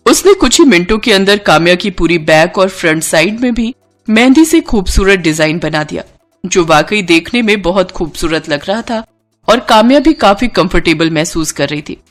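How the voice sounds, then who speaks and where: A woman storyteller delivering scripted cartoon story narration in an expressive way. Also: on the low side, normal speed, faint music behind.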